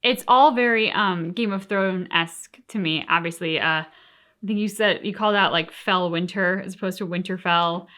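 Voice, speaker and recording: A woman's voice: on the low side, normal speed, sharp tone. A woman co-hosting a reaction show, giving thoughtful, conversational commentary in a casual chat style. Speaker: co-host of a reaction channel.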